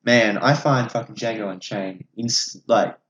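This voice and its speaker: A man's voice: lowish voice, a little slow, fairly bright tone, highs cut off. A man, an opinionated podcast host who swears freely while casually discussing films. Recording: echoey room, audible background noise.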